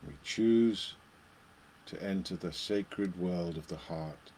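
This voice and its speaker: A man leading a guided meditation, speaking in short phrases with long pauses between them. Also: sits low, a little mellow, slight background noise.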